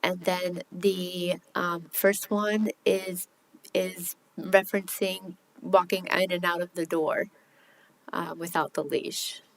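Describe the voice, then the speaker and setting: A woman's voice: on the low side, level tone, slow pace, creaky, no echo, poor audio. A woman answering questions at a city hearing, hesitant and a little defensive, with many ums. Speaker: respondent at a hearing.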